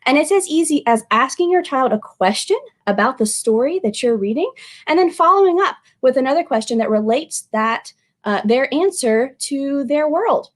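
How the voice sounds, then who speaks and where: A woman speaking as a children's librarian at storytime, expressive and addressing young listeners directly. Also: sits high, normal speed. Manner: animated, expressive, with exclamations and questions to young listeners. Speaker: children's librarian reading aloud. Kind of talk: storytime picture-book reading and friendly address to children.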